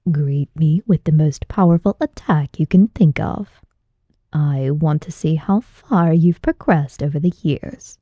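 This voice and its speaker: A woman voicing an imperious, mocking older male martial arts master in a slow, deliberate, haughty manner for an audiobook. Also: low-pitched, no room sound, muffled recording, pitched below the performer's own voice. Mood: commanding.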